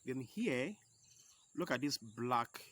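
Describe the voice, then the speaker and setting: A man with a Yoruba accent teaching a home video lesson, going slowly and repeating each key term step by step. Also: medium pitch, lively intonation, fairly bright tone. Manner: slow, repeats key terms, explains step by step. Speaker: percussion teacher.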